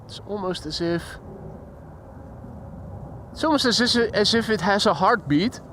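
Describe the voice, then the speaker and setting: Man, Dutch accent, high voice, chatty live commentary, outdoors, faint background hum. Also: measured pace, a bit bright. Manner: chatty, reads chat aloud, imitates sounds. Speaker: plane spotting livestream host. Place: outdoors beside an airport. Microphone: handheld shotgun mic.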